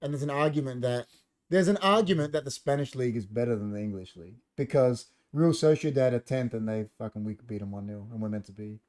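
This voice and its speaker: Aussie bloke on a podcast, talks real quick, pushy and sweary, normal pitch. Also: male voice, darkish tone. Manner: rapid, emphatic, swears casually. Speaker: podcast co-host. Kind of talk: casual chat.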